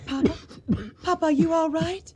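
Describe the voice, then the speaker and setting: An actress playing a devoted, anxious young daughter in a radio drama. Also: female voice, extremely high pitch, fairly even intonation, moderate pace, a little mellow. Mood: coaxing, anxious.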